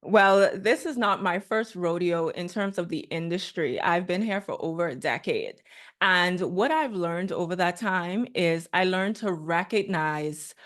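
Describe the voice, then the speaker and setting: A woman's voice: on the low side, measured pace, fairly bright tone. A woman from the Bahamas with a Bahamian accent, a guest speaking earnestly and steadily with many ums on a video call. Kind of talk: online training call.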